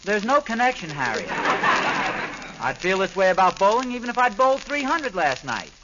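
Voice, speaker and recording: A lively, high-voiced defensive radio husband. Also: male voice, normal speed. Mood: defensive, huffy. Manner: grumbling, protesting, self-justifying. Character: defensive, stubborn suburban husband and father. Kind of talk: old-time radio situation comedy acting. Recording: muffled, crackly old radio recording.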